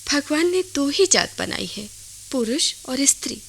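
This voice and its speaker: High-pitched, tremulous poor village mother. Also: lively intonation, a little slow, vocal fry, fairly bright tone, a little hiss or hum, heavily acted. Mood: hushed, pleading. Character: poor tearful village mother, humble and devoted. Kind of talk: melodramatic radio play acting.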